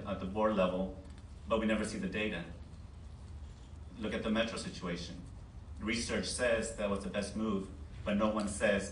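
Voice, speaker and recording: A man giving a plain-spoken, insistent answer as a candidate at a public forum. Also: on the low side. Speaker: principal and board candidate. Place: large meeting board room. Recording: roomy distant mic, faint noise.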